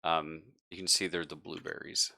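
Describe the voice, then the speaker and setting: A man narrating a horror tabletop game as game master, improvising in a hesitant, halting way. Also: sits low, little pitch movement, gravelly, bright, forceful tone.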